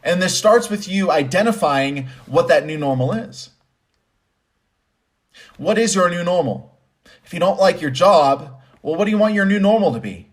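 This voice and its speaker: A man's voice: sits high, lively intonation, moderate pace. Emphatic, conversational man giving motivational commentary. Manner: conversational, emphatic, repeats phrases for stress. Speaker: host and commentator. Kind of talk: motivational spoken commentary with personal storytelling.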